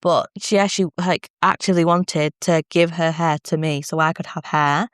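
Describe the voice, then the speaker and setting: A woman's voice: low-pitched, fairly even intonation, normal speed, clear, resonant tone, no echo, clean studio sound. A young woman with a British accent talking casually and earnestly as a podcast co-host, in a reflective way. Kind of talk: casual conversation.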